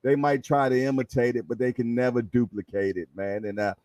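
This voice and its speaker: A man's voice: mid-range voice, steady tone, fairly quick, darkish tone, no room sound. A man hosting a boxing panel show in casual live chat.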